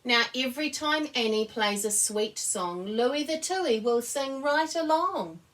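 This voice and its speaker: A woman reading a children's picture book aloud as an expressive storyteller. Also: on the high side, unhurried, far from the mic. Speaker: story reader.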